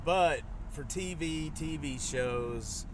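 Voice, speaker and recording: A man's voice: on the high side, slow. Texas guy with a sore throat, rambling live stream from his car, lots of street noise. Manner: rambling, with frequent restarts. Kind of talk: casual live-stream commentary. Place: vehicle driving city streets. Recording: noisy street and traffic.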